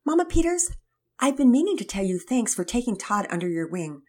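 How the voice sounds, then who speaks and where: A woman's voice: a little high, very animated, fairly quick, mellow, pitched above the performer's own voice. A woman voicing a caring but skeptical mother who speaks wryly about her son's newfound faith. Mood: friendly. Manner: wry, doubtful.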